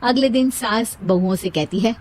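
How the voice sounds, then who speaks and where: Woman storyteller w a high voice, reading a scripted kids moral story. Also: moderate pace, darkish tone. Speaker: story narrator.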